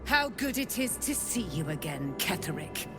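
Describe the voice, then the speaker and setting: A woman's voice: fairly low pitch, big pitch swings, moderate pace. An actress playing a proud, vengeful warrior woman in a video game, declaiming in a haughty, theatrical way. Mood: scornful. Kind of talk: dramatic video game acting. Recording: loud music behind the voice. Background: loud dramatic game music.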